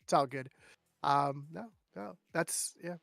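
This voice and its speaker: A man's voice: fairly high pitch, slow pace, creaky, a bit bright, no echo, clean studio sound. A man chatting as a guest on a casual podcast, telling stories and mimicking people in raised voices.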